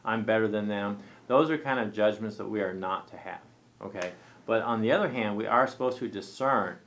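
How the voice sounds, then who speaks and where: A man in his forties with a fairly low voice co-hosts a Bible discussion in a small studio. He sets out his points in a measured, explanatory way, and his tone stays conversational and steady. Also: slight background noise. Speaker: co-host. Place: small studio set with armchairs.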